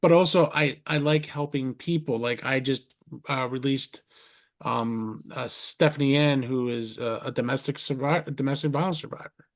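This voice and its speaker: A man hosting a podcast over a video call, rambling warmly, restarting his sentences and heaping compliments on his guests. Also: mid-range voice, normal speed. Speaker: podcast host. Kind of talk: casual interview chat.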